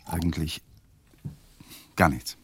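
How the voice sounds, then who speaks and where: An actor playing a troubled, guarded lawyer in a radio drama, speaking tersely and politely. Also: male voice, very deep voice, steady tone, slow pace, a little hiss or hum, heavily acted. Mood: guarded, subdued.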